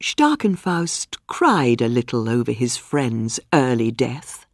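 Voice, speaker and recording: A woman reading a scripted storybook for English learners, with measured, clearly phrased storytelling. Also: rumbling low, very animated, dry recording, clean studio sound. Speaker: audiobook narrator.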